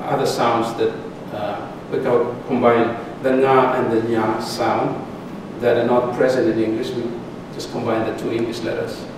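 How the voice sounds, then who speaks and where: A middle-aged man, a lecturer taking audience questions in measured speech with pauses and restarts. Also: medium pitch, level tone. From Solomon Islands. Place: large lecture theatre. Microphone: distant room mic. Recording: echoey hall from far off, background noise.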